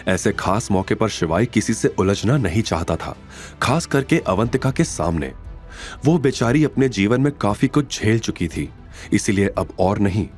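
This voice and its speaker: A man's voice: lowish voice, expressive, normal speed. A man narrating a scripted audio drama in a dramatic storytelling style. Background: music bed.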